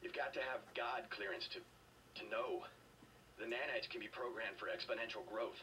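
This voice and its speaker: An actor playing a weakened, earnest rebel double agent in a video game, halting and urgent. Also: male voice, on the low side, sharp tone, distant mic, poor audio. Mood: guarded. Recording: game audio filmed off a TV, faint noise.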